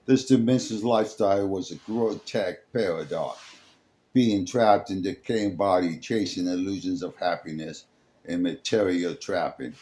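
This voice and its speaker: A man reading a dramatic scripted story as its narrator, in a halting way, sometimes stumbling over the words. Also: low voice, darkish tone. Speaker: storyteller, host.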